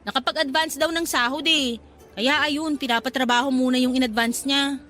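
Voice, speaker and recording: Sassy, high-voiced gossipy shop girl. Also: steady tone, moderate pace, bright, forceful tone. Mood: eager, gossipy. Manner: chatty, slangy, teasing. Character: gossipy young female shop co-worker, sassy, practical. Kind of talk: Filipino radio-style dramatized love story.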